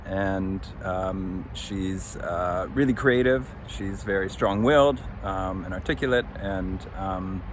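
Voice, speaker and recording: A man's voice: deep voice, slow pace, hardly any pauses. A man hosting a podcast as its author, giving a casual, unscripted recap and searching for his words. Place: outdoors under a tree. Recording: faint outdoor traffic-like noise. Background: faint outdoor urban ambience.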